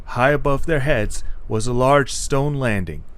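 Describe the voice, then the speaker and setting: A man's voice: medium pitch, measured pace. A man reading scripted narration for an adventure story.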